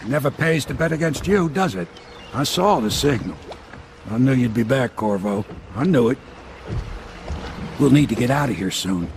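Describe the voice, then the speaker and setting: A man's voice: mid-range voice, lively intonation, normal speed, pauses often, a little hiss or hum, natural voice. A man playing a plain-spoken, loyal, world-weary boatman in a video game. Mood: knowing, concerned. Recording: very loud music, boat sounds behind.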